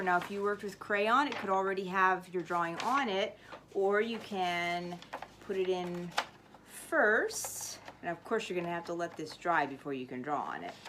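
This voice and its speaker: A woman's voice: mid-range voice, a bit bright, slight background noise. A woman speaking like a teacher at story time, explaining and narrating in an instructive, step-by-step way. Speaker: teacher reading a storybook and showing a craft. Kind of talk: read-aloud storytelling and casual how-to demonstration.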